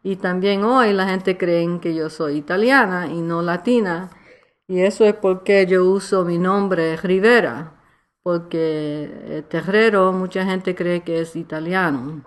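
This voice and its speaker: Slow, halting Nuyorican woman. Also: fairly low pitch. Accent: New York Puerto Rican. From New York, United States. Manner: halting Spanish, searching for words, slipping into English. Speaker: author being interviewed. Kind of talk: reflective personal interview in casual Spanglish.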